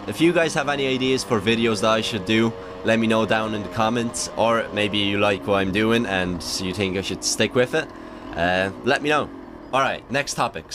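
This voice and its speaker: A young man chatting casually to his viewers as a BMX vlogger, upbeat and rambling with fillers, while riding a motorbike. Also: sits low. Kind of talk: casual vlog chat to viewers. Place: riding a motorbike on open roads. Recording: road and engine noise behind voice.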